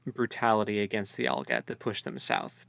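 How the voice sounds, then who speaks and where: A man's voice: fairly low pitch, fairly even intonation, moderate pace, gravelly, a bit bright, no room sound. A man co-hosting a casual book discussion, speculating in loose, colloquial language. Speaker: co-host of a book read-along podcast.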